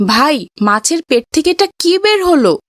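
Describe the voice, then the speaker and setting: A woman's voice: high-pitched, sing-song, measured pace, a bit bright, no room sound, studio quality, full character voice. A woman playing an earnest, principled young boy in a cartoon. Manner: earnest, righteous. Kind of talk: cartoon voice acting.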